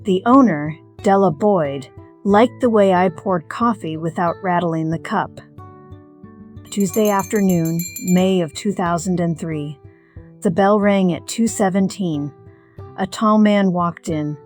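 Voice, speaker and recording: A woman playing a middle-aged retired firefighter, narrating a scripted first-person story in a measured, reflective, wry way. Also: fairly low pitch, darkish tone, soft background music, lightly acted. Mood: reflective, wistful.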